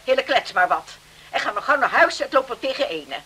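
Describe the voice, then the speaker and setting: A woman's voice: high voice, big pitch swings, bright, forceful tone, husky, heavily acted. An actress playing a no-nonsense middle-aged island mother in a period radio play, with a commanding but caring manner. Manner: commanding, brisk.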